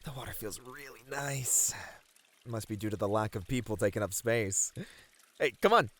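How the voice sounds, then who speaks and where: A man's voice: fairly low pitch, lively intonation, normal speed, a bit bright. A man playing a friendly, easily flustered bunny man in an ASMR roleplay, earnest and a little hesitant. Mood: shy, easygoing. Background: pouring, trickling water.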